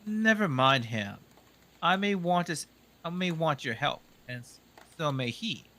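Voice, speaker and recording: A man's voice: fairly high pitch, expressive, bright, forceful tone, heavily acted. A man voicing a confident, dry-witted male detective in a story reading. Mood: assured. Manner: brisk, crisp and dryly assured. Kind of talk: audiobook reading.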